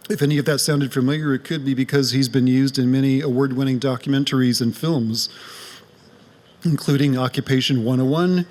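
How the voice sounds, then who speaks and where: A man with a mid-range voice hosts a community gathering. He introduces guests from notes, pausing often on ums and uhs. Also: medium pitch. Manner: reads bios, frequent uh and um. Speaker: program host at congregation. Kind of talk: introductions and announcements. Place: congregation meeting hall.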